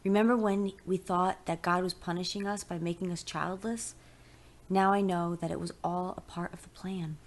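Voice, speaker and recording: A woman narrator plays a fervently religious wife in a pulp audio story. She is excited, insistent and utterly determined about her divine calling, her pitch lifting above a low-set register. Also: normal speed, close to an everyday voice. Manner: enthusiastic, insistent.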